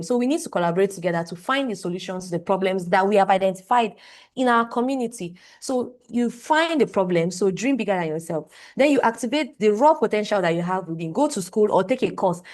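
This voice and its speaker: A woman's voice: medium pitch, a little mellow. Young woman giving an emphatic talk. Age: young adult. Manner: emphatic, repeats phrases, asks the audience "right?". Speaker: conference speaker. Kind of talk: motivational talk on a livestream. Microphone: video call. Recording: online call audio.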